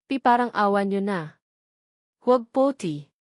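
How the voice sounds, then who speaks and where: A woman's voice: mid-range voice, lively intonation, a little slow, no echo, clean studio sound, close to an everyday voice. A woman voicing a frightened, desperate young woman who pleads, in an audio drama story. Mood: terrified pleading.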